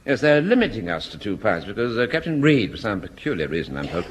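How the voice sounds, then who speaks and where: An actor with a genteel English accent playing a mild, hesitant, polite middle-aged sergeant in a radio sitcom. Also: male voice, fairly low pitch, big pitch swings, quick pace. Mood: polite, vague. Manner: hesitant, polite, vague, drily understated. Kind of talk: British radio sitcom acting. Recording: hissy old radio comedy recording.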